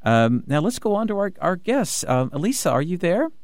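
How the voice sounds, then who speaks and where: A man hosting a radio talk show from the studio, talking in an excited rush with many restarts and fillers. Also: sits high, fairly quick, no echo. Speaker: radio host.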